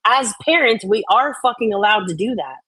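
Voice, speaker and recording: A woman's voice: a little high, lively intonation, fairly quick, bright, forceful tone, husky, no room sound, poor audio. A woman co-hosting a casual chat, reading out and reacting to comments in a blunt, opinionated way with some swearing.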